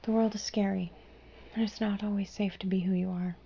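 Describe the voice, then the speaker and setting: A young woman voicing a gentle female fairy in human form, whispering softly and reassuringly. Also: mid-range voice, little pitch movement, brisk, muffled recording, slight background noise, close to an everyday voice. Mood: soothing. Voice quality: breathy whisper. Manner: soft soothing whisper, reassuring and hushing. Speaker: ASMR roleplayer. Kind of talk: whispered ASMR roleplay.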